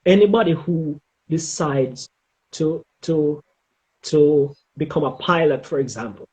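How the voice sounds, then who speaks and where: A middle-aged man with a Jamaican accent giving advice in an interview, speaking emphatically and deliberately and often checking in with "okay?". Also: on the high side, dark tone, rough recording. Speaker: interview guest, former air traffic controller.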